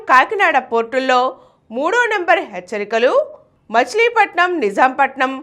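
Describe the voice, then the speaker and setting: High, lively woman newsreader. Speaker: news reader. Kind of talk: scripted news reading.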